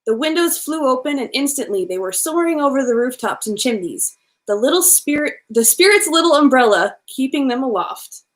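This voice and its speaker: A woman with a fairly high voice reads a storybook aloud for children. Her delivery is expressive and animated, in the playful manner of a bedtime storyteller. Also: a little fast. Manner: animated, with playful asides to listeners.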